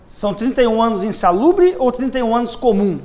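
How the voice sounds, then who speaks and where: A man's voice: very high-pitched, big pitch swings, fast talker, a little mellow. A man working as a social security lawyer, methodically explaining pension rules step by step on a live TV program. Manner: methodical, lays out cases step by step.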